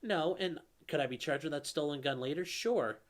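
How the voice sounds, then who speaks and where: A man's voice: fairly high pitch, normal speed. A man, a lawyer hosting a live stream, answering viewer questions in a casual, rambling way with fillers and restarts. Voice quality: calls his own voice nasally; a viewer calls it velvety.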